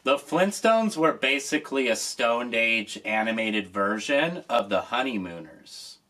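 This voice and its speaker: A man's voice: mid-range voice, expressive, a little slow, pushed and bright. A man hosting a nostalgic commentary, talking about an old show with enthusiasm and emphasis. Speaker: host.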